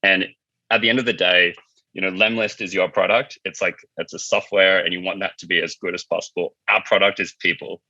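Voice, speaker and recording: A low-pitched man speaks in a forceful, very bright voice. He chats informally as an interview guest, on a dry but slightly dull recording. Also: fairly even intonation, brisk, no echo. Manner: informal, conversational, frequent "like" and "you know". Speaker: company founder being interviewed. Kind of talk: casual interview talk.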